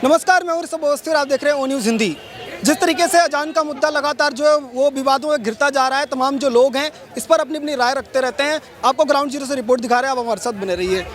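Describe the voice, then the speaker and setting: A man's voice: extremely high pitch, fast, a bit bright. A man working as a field reporter, putting questions in a street news interview.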